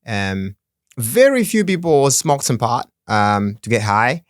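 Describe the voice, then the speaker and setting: A man's voice: medium pitch, very animated, slow, dry recording, clean studio sound. A man from the Congo whose first language is Swahili, talking earnestly as a podcast guest, often laughing and restarting words. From Democratic Republic of the Congo. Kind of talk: casual interview chat.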